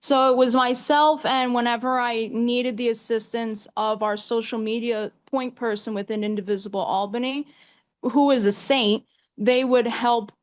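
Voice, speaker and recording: An American woman in her twenties answering as an activist guest in a conversational interview, emphatic and repeating phrases for stress. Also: on the high side. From New York State, US. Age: 20s. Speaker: activist guest, community organizer.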